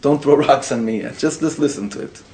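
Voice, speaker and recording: A rabbi teaching a casual class to live students, animated and informal, dropping Hebrew and Yiddish words into his talk. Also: medium pitch, brisk, a little hiss or hum. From Israel. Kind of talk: casual spoken Torah class.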